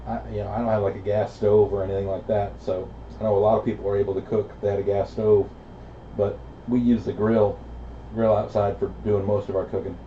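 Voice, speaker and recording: Low laid back american guy on cb radio, middle aged, talks kinda halting, muffled echoey sound. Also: level tone, noisy background. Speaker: amateur radio operator. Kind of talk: casual radio chat.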